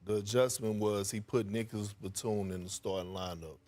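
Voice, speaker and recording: A man's voice: lowish voice, fairly even intonation, a little fast, darkish tone. A man giving colloquial basketball analysis, punctuating his points with rhetorical questions. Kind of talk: colloquial studio commentary. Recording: broadcast with music behind.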